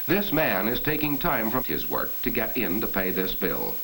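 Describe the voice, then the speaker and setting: Low-voiced 1940s training film narrator, animated man. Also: moderate pace, rough recording. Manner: earnest, instructive, addressing the viewer directly. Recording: hissy 1940s film soundtrack.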